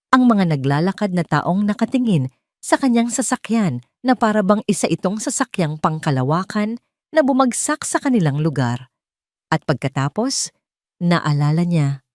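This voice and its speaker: A woman's voice: lowish voice, no echo, clean studio sound. A woman reading a scripted dramatic story as its narrator.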